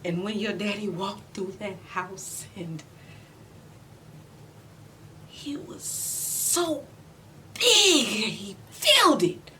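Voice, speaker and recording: A woman in her forties playing a weary, proud, loving mother in an emotional stage monologue, speaking deliberately with heavy pauses. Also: very animated, sharp tone, heavily acted. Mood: regretful. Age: 40s. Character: weary, proud middle-aged mother and widow, wounded but loving. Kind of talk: emotional stage monologue, self-taped audition. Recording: distant mic, noticeable low rumbling noise.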